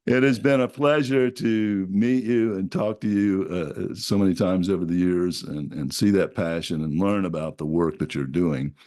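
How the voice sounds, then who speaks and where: A man's voice: sits low, unhurried, darkish tone. A man hosting an interview show, encouraging his guest with short affirmations and eager follow-up questions. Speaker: podcast host.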